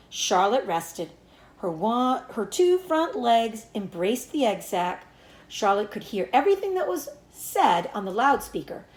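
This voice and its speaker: A woman reading a children's storybook aloud as a teacher would, in expressive narration. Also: a little high, echoey room, rough recording.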